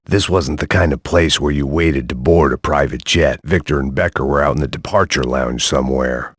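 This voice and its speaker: A burnt-out ex-cop in a game, very deep husky voice, sardonic noir narration, dead-dry studio sound. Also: male voice, no echo. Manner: dry, sardonic, world-weary. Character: weary cynical middle-aged male ex-cop.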